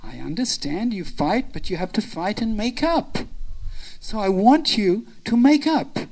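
A woman's voice: medium pitch, animated, measured pace, a little mellow. A woman voicing a well-meaning elderly mother-in-law in a story, fussing and repeating herself as she scolds and soothes. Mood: scolding.

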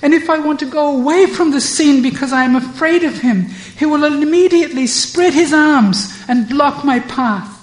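Woman voicing a high, pleading young cowherd girl. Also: a little mellow. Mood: agitated. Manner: high, fast, pleading complaints. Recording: echoey room.

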